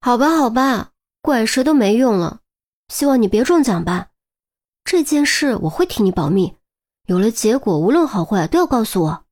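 A woman voicing a brisk young policewoman in an audiobook, pressing with questions and scolding protectively. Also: on the high side, very animated, a little mellow. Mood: earnest, concerned. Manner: pressing, rapid-fire questioning. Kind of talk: audiobook character dialogue.

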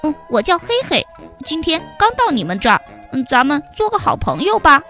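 A woman voicing a nervous little boy ant who fibs and stammers in a rushed, higher voice. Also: sing-song, sharp tone, faint music behind, heavily acted. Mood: eager. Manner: stammering and rushed when caught lying.

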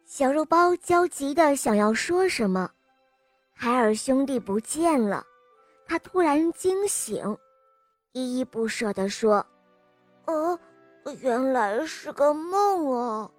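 A woman's voice: high-pitched, animated, takes it slowly, no room sound. A woman reading a scripted storybook tale for young children, expressively and addressed to little listeners. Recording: music under the voice. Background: music.

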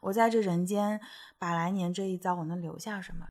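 A woman's voice: on the low side, fairly even intonation, fairly quick, darkish tone. Chatty woman telling old stories. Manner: explains at length, stacks many agreeing "对对对". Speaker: podcast co-host. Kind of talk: casual chat about fairy tales.

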